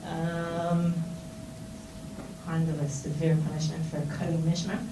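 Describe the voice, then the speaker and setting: A woman's voice: deep voice, little pitch movement, a little slow. A middle-aged Israeli woman giving an informal talk to seminar students, with a Hebrew accent in her English and many fillers and restarts. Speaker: speaker at a seminar. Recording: roomy and distant, with noise.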